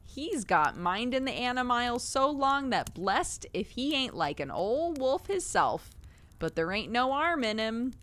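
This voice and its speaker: A woman voicing a cheery working-class wife, talking slow and fond. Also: a little high, very animated, fairly bright tone, voice pushed up, heavily acted.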